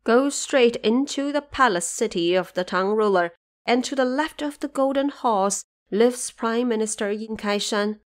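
A woman's voice: a little high, a little slow, close to an everyday voice. A woman voicing a devoted, anxious noblewoman and mother who instructs earnestly in an audio drama.

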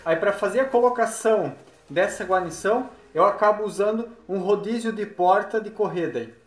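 A man's voice: high voice, normal speed. Man explaining a job in an echoey workshop. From Brazil. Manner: plain explanatory, frequent tag questions, working while talking. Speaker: window installer. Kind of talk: hands-on workshop demonstration. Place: large workshop indoors. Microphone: phone camera nearby. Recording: echoey workshop with background music.

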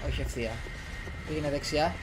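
A young man, giving casual let's play commentary in an excitable way, talking to himself and repeating words rapidly. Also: a little high, noisy background. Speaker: gaming YouTuber. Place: small room at home, indoors. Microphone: headset on, desktop USB condenser microphone. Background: horror game soundtrack and effects.

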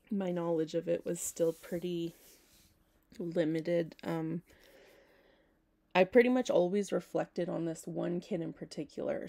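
A young woman talking casually to her camera at home, hoarse, rambling with lots of "like" and self-corrections. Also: low voice, warm tone. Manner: rambling, self-interrupting, frequent "like". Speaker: vlogger. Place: small home room, indoors.

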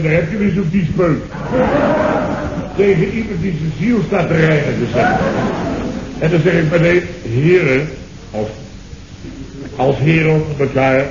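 An actor playing a blustering, indignant boss in a radio comedy, exclaiming and repeating himself. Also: male voice, fairly high pitch, close to an everyday voice. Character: blustering, indignant boss recounting his misadventures. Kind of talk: 1970s radio comedy acting. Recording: muffled, noisy old radio recording, roomy.

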